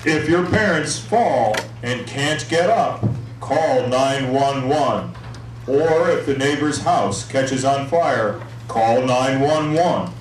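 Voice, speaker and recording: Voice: medium pitch, expressive, slow pace. A performer voicing an eager, chatty puppet in a live children's fire safety show, with exaggerated, emphatic delivery. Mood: instructive. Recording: roomy, distant, noisy live show recording.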